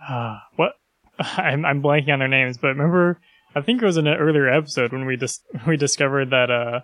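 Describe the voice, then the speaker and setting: A young man co-hosting a loose comedy podcast, laughing easily and nudging his partner along with playful questions. His voice sits at a middling pitch, recorded dry and close. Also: brisk, fairly bright tone, no room sound. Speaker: podcast co-host. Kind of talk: casual comedic chat.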